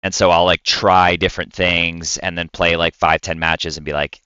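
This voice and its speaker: Man, very low pitch, forward bright tone, clear, enthusiastic explainer, casual podcast chat. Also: level tone, moderate pace, no room sound, dull audio. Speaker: podcast co-host. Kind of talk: casual podcast chat about video games.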